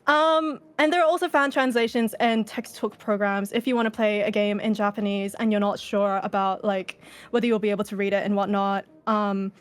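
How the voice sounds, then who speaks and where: A woman's voice: mid-range voice, steady tone, moderate pace, smooth. A woman with an Australian accent giving a casual, informal fan talk as a panel presenter, swearing now and then. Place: indoors, virtual convention presentation.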